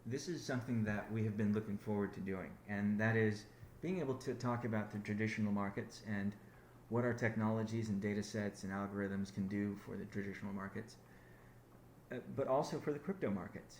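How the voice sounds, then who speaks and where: Man, low voice, founder giving a business update, rambling with restarts, echoey call audio. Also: fairly quick, a little mellow, a little hiss or hum. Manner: rambling, self-correcting, many restarts. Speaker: company founder on a conference call.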